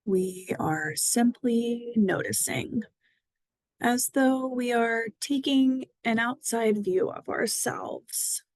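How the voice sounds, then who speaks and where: A woman guiding a mindfulness body scan meditation, speaking gently and soothingly with long pauses. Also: medium pitch, no echo, rough recording. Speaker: mindfulness guide.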